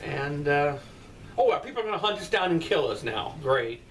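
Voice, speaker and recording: Middle-aged father, blunt rambling talker, slightly raised pitch; distant-mic bedroom recording with faint noise. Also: very animated, moderate pace. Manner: rambling, blunt, profane asides. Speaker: father answering viewer comments. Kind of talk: casual comment-response chat. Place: bedroom at night, indoors.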